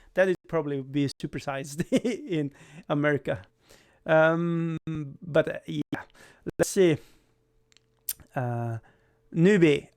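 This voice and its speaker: A young man speaking English, his voice sitting a little high for a man. He rambles casually to his livestream chat, stumbling over restarts and laughing at himself. The sound is close and dry, with no room echo. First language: Swedish. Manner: rambling, self-deprecating, frequent stammered restarts and laughs. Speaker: livestream host.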